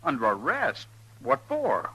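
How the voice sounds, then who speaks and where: An actor playing a wary, stubborn cowboy on the run, plain-spoken with a rural Western American accent, in an old radio western. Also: male voice, fairly high pitch, huge pitch swings, unhurried, creaky, bright, forceful tone, slightly rough, full character voice, poor audio. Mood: puzzled. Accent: rural American West. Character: wary, stubborn cowboy fugitive wrongly wanted for murder. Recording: vintage radio, faint noise and hum.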